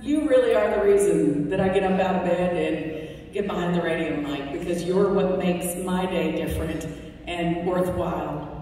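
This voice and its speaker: A woman's voice: fairly low pitch, moderate pace, warm tone, slight background noise. A woman radio host giving a heartfelt award acceptance speech with wry jokes, speaking at a podium in a large banquet hall. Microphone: podium microphone, recorded from the audience. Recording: echoey hall recorded from far off.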